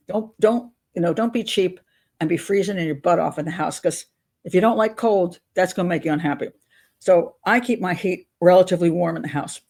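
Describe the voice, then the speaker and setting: A woman's voice: on the low side, a little mellow. An older woman hosting a casual advice vlog, talking in a chatty, candid, rambling and self-deprecating way. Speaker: advice show host on YouTube.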